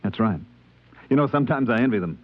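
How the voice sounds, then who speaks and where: A man's voice: mid-range voice, expressive, fast, no echo, phone-quality sound, natural voice. Actor as a folksy spaceman, thin radio sound. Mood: wistful. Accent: American. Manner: folksy, modest, hesitant. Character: affable, plain-spoken, trusting male spaceship purser narrating. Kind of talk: 1950s American radio drama narration and dialogue. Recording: thin, band-limited old radio recording.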